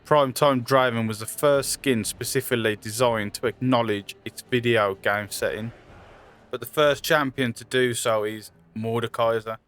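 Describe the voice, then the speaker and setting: A man's voice: mid-range voice, steady tone, moderate pace, a bit bright. A man reading scripted trivia narration as the narrator of a video. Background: music.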